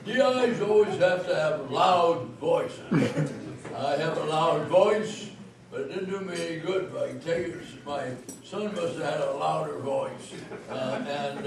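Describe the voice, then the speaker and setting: Old guy in his 90s, slow halting talk with lots of uhs, far off in a big echoey room. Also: on the high side. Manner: halting, many fillers, chuckling. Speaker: veteran speaking at a dinner. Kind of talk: rambling after-dinner remarks. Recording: echoey hall from far off, noisy.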